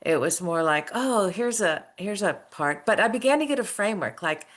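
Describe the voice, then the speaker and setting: A woman's voice: mid-range voice, animated, normal speed. A middle-aged woman, an author and former school principal, telling her story earnestly in a casual interview. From United States.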